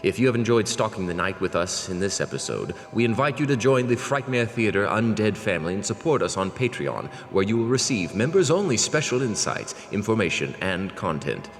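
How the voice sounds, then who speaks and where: A man delivers theatrical horror-show announcements in a deep voice with sweeping inflection. He sounds grandiose and ominous, set in a long reverb. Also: very animated, a little fast, hardly any pauses. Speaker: podcast announcer. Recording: long cavernous reverb over music.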